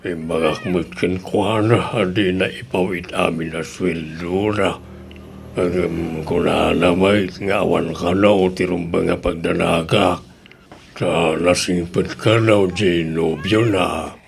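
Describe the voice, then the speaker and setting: A man acts out an old Filipino father in a radio drama, fretting and grumbling about his grown children. The voice is creaky and gravelly, with clear noise in the recording. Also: low voice, fairly even intonation, slow pace, exaggerated voice. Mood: worried. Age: older. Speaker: actor in audio drama. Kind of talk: Ilocano radio drama acting.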